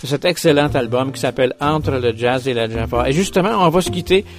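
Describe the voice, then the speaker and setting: A man hosting a radio interview, measured and polite as he introduces songs. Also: mid-range voice. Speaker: radio host.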